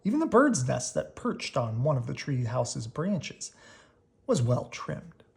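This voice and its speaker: Animated, expressive children's storybook reader. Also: mid-range voice, vocal fry. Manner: animated, drawn-out emphasis on key words. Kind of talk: expressive children's read-aloud.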